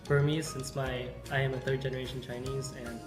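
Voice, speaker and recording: A young college man from California gives careful, formal answers. His voice is mid-pitched. The room is echoey, with music behind. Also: little pitch movement, brisk. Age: young adult. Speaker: student athlete interviewee.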